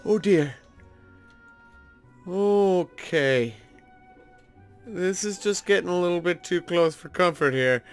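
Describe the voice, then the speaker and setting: Guy doing chatty gameplay commentary, kinda high voice, playful, music playing behind him. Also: slow, pauses often. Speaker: gaming video host. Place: indoor voice-over recording over gameplay. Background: background music.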